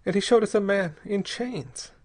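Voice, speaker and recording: A man voicing a terrified, despairing young married woman in an audiobook story, with a breathy, halting, pleading delivery. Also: sits high, darkish tone, pitched above the performer's own voice. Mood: anguished recounting. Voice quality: breathy, gasping.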